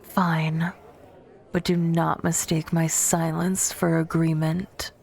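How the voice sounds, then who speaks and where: Woman acting a low, whispery, haughty vampire warrior. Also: level tone, slow, vocal fry, darkish tone, full character voice. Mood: grudging. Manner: haughty, cutting, formal phrasing. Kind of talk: scripted ASMR audio roleplay.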